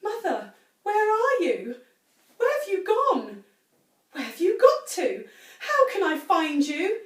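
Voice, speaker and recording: A woman reading a story aloud and voicing a frantic, fussy middle-aged housewife with a shrill, wailing voice. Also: huge pitch swings, slow, distant mic, full character voice. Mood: worried. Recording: echoey room, voice off-mic.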